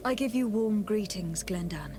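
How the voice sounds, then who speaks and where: A woman's voice: medium pitch, animated, normal speed, warm tone, faint music behind, natural voice. An actress playing a courteous, composed young noblewoman diplomat in a fantasy video game, polite and formal. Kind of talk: video game dialogue acting.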